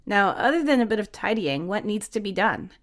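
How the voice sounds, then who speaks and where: A woman voicing a kind, shy, caring young woman with a gentle manner in an audio story. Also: mid-range voice, animated, a little fast, voice pushed up, natural voice.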